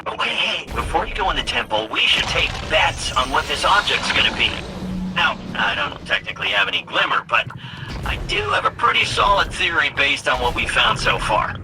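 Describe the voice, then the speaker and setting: A man voicing a wry, excitable little machine companion in a video game cutscene, chatty and hesitant, given to sardonic rambling. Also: fairly high pitch, brisk, very noisy. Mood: playful. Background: game soundtrack music.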